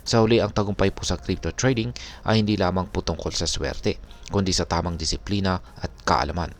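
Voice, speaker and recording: A Filipino man hosting an explanatory video, speaking in a polite, measured, formal way. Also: deep voice, steady tone. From Philippines. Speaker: crypto video host. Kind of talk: explanatory narration over charts.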